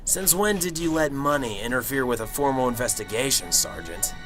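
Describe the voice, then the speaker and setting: A cynical, world-weary radio drama detective, acted by a man. He is dry and sardonic, speaking at a middling pitch with faint music underneath. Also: expressive, brisk, a bit bright, lightly acted. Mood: accusing. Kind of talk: amateur radio drama acting and first-person narration.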